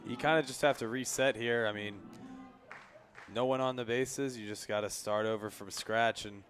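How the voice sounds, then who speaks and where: Male color commentator, medium pitch, conversational baseball analysis, live radio broadcast. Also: steady tone, moderate pace, fairly bright tone.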